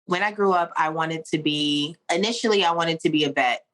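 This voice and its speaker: A woman in her thirties from Pittsburgh, a singer-songwriter answering interview questions in a relaxed, rambling way. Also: low voice, a little fast. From Pittsburgh, USA. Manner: relaxed, rambling with fillers and laughs.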